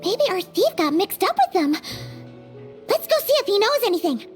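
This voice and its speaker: A woman voicing a tiny floating female companion in a video game, blunt and teasing, quick to take charge, with game music behind. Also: extremely high pitch, very animated. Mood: eager.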